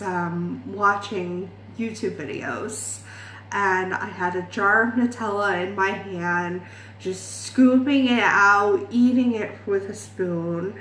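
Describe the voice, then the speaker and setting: A woman's voice: medium pitch, steady tone, slow pace, a bit bright, roomy sound, slight background noise, low-quality recording. A woman in her late twenties from Ireland, chatting in a rambling, self-deprecating way in a makeup vlog. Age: 20s. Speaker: beauty vlogger.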